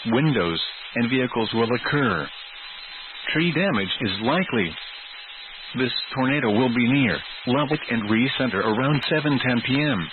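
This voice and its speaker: Over a thin, narrow radio channel with clear noise, a male announcer reads an emergency weather bulletin. His delivery is formal, measured and synthetic-sounding, in a mid-pitched voice. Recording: tinny, noisy weather radio broadcast.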